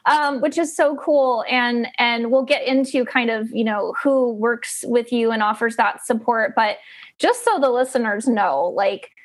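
A woman's voice: fairly high pitch, unhurried. A woman from Ohio hosting a podcast, talking in a friendly, casual way with fillers. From Columbus, Ohio. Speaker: podcast host, lactation consultant. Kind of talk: conversational podcast interview.

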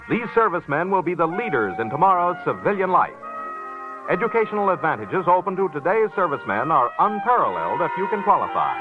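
A man's voice: high-pitched, phone-quality sound. A man reading scripted announcements in the style of a 1940s radio announcer. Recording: old band-limited radio broadcast with music.

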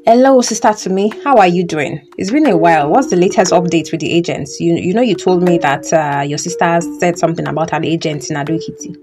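An actress plays a chatty Nigerian friend in an audio drama. She talks fast in a lowish voice, with clear music playing behind her. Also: close to an everyday voice. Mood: friendly, curious. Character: chatty woman friend checking in. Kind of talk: audio drama acting, conversational. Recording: prominent music under the voice.

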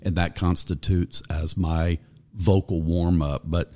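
Deep-voiced male podcast host. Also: takes it slowly. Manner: relaxed, conversational, asks long rambling questions. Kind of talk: casual interview chat.

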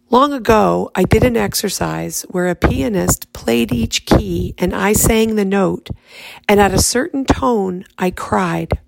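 A woman reading her own poem aloud in a raspy, hoarse voice from laryngitis, speaking softly. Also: mid-range voice, no echo. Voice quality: raspy, fried voice. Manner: soft, unhurried speaking.

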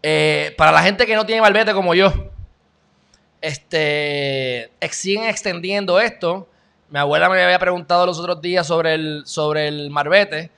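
A young Puerto Rican man chats sarcastically through a live stream. His voice is bright, forceful and sits a little high for a man. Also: a little slow, gravelly, clear, resonant tone. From Puerto Rico. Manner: chatty, sarcastic, greets viewers by name. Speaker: channel host. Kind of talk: casual live-stream news chat.

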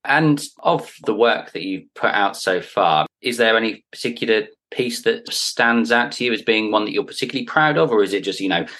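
A man's voice: on the low side, expressive, a little fast, few pauses, a bit bright. A man hosting a podcast, asking questions in a casual interview style with tentative, hedging phrasing.

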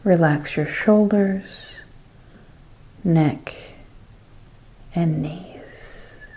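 Slow, low-voiced woman leading meditation. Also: warm tone. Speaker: guided meditation leader. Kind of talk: guided meditation, scripted devotional narration.

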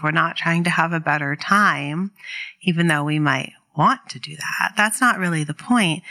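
A woman teaching guided meditation in a gentle, encouraging, unhurried way. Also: fairly low pitch. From New England, living there. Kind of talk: guided meditation instruction to an online community.

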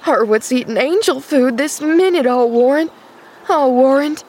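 A woman's voice: sits high, measured pace, a little mellow, voice pushed up. A woman voicing a fretful, loyal young serving maid in an audiobook, with exclamatory, ungrammatical rustic speech. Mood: panicked wailing. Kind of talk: audiobook character voice.

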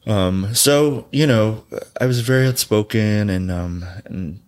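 A man's voice: fairly low pitch, measured pace, darkish tone. A man from Southern California, a guest in an interview, telling his life story bluntly and candidly, swearing freely and laughing often.

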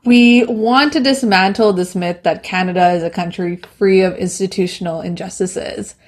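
A young adult woman from Bangladesh co-hosting a podcast, speaking in a measured, earnest way. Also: medium pitch, fairly even intonation. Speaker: podcast co-host. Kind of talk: scripted podcast intro.

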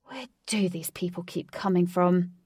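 A woman's voice: on the low side, measured pace, a little mellow, breathy edge, no room sound, clean studio sound. A woman doing a stern commanding warrior man. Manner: curt and commanding.

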